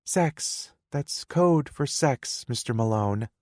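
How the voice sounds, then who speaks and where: A man's voice: mid-range voice, expressive, slow, darkish tone, no echo, clean studio sound, pitched above the performer's own voice. A man voicing a playful, teasing young woman in an audiobook, raising his voice to sound bubbly and pleading. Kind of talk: audiobook character voice.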